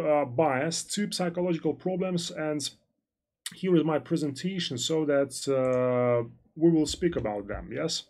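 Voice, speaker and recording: A man's voice: fairly high pitch, darkish tone. A man working as a financial analyst, hosting a live-stream trading lesson and answering viewer chat in a loose, rambling way full of fillers and restarts.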